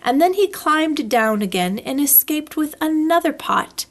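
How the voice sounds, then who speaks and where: A woman's voice: high voice, lively intonation, a little slow. A woman reading a children's story aloud as a storyteller, expressively, drawing out words for effect. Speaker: library storyteller.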